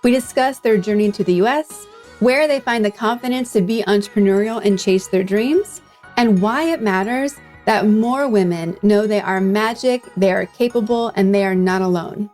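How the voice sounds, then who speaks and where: A woman's voice: medium pitch, normal speed, darkish tone, faint music behind. A woman hosting and interviewing on a podcast. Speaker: podcast host.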